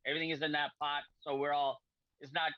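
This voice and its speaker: A middle-aged man from Portugal, a repair shop owner and guest on a video call, speaking in halting, repetitive friendly sentences. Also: a little high, flat delivery, dry recording. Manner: halting, repeats words, friendly. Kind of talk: casual video-call chat. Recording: dull lo-fi video-call audio.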